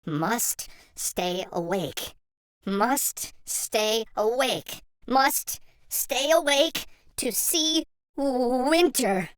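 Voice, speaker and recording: A man doing a high, pleading little hedgehog boy. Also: expressive, drawn-out words, a bit bright, clean tone, dry recording, studio quality, full character voice. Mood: drowsy. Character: eager young male hedgehog, sleepy but stubborn.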